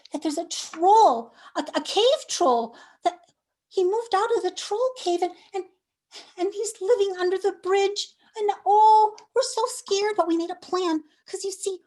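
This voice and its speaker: Woman playing a frightened little goat in a storybook: very high, slow, stammering. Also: darkish tone. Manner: stammering, fretful. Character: timid little billy goat boy.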